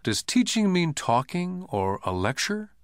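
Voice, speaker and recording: A man voicing a calm, shrewd boss in an audiobook, lecturing like a mentor in a measured, wry way. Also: high voice, no echo, studio quality, voice pushed up, exaggerated voice. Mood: calm, instructive.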